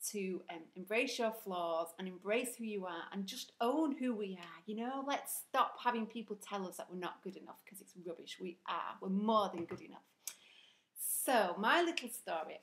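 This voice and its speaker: A woman's voice: mid-range voice, fairly bright tone. A woman from Northern England with a broad Northern English accent, talking earnestly to camera in a chatty vlog with frequent ums. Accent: broad Northern English, Rochdale and South Yorkshire mix. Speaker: vlogger. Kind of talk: casual talk to camera.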